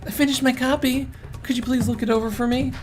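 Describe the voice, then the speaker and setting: A man's voice: steady tone, quick pace, music in the background. A man voicing a bubbly young woman reporter. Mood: eager. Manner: high, chirpy and earnest.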